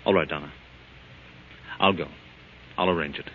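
An actor playing a reluctant, wry family friend in a 1940s radio drama, with an unhurried delivery. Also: male voice, a bass voice, steady tone. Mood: reluctant, agreeing. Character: smooth, reluctant male family friend with a yacht. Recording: noisy, muffled old radio recording.

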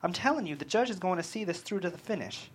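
A man's voice: sits high, expressive, fast, slightly rough. A man at a script table read, playing a blunt, sardonic adviser who doubts the plan. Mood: skeptical, sardonic. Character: skeptical, blunt, sardonic male adviser arguing with a lawyer.

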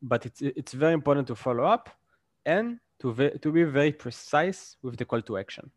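A man's voice: mid-range voice, animated, a little fast, dry recording, studio quality. A man, a guest in a casual interview, explains his points with examples and often checks in with "right?".

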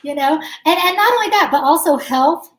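A chatty woman with a high voice, speaking as an interview guest. She talks casually, laughs easily and keeps saying "like". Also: expressive, moderate pace, a bit bright. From United States. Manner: chatty, laughs often, says "like" a lot. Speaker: guest musician.

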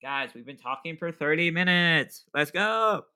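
A man's voice: on the high side, lively intonation, unhurried, bright, forceful tone, clear, resonant tone. A young man hosting his own podcast, talking in a rambling, self-deprecating way and laughing often. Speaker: podcast host. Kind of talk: casual solo podcast chat.

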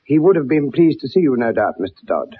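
A man's voice: mid-range voice, big pitch swings, fairly quick, breathy edge. An actor playing a small, guarded, politely evasive man with a courteous, formal manner in a radio drama. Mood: guarded courtesy. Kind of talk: 1960s radio drama acting. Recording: thin, narrow old radio broadcast.

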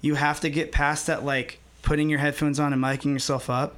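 A man in his twenties, a musician, talking casually in an interview in a relaxed, rambling way with many pauses. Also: on the high side. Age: 20s. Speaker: musician guest.

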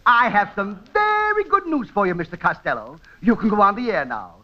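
High-voiced, jargon-spouting doctor. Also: male voice, big pitch swings, brisk. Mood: pompous. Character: chattering doctor spouting medical gibberish. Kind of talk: 1940s radio comedy acting. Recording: muffled 1940s radio recording.

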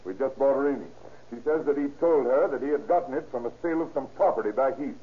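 An actor playing a shrewd, businesslike police captain with clipped speech in an old radio drama. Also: male voice, mid-range voice, quick pace, natural voice. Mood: brisk. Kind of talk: 1930s-40s radio acting. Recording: muffled, noisy old radio recording.

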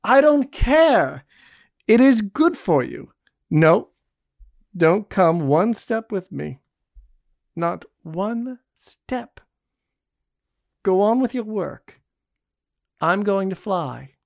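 A bold, imperious young woman who mocks and commands in a raised, animated voice, as a male storyteller puts her on. The tone carries a gravelly edge. The recording is close and dry. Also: high voice, takes it slowly, pauses often, dry recording, pitched above the performer's own voice, heavily acted. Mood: commanding. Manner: teasing, imperious.

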